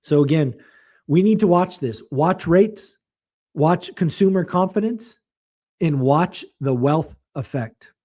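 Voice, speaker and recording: A man with a slightly raised pitch, his voice a little hoarse as if it is fading. He hosts a real estate show and delivers market commentary in a blunt, emphatic way, with a mocking edge. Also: lively intonation, slow pace, dark tone.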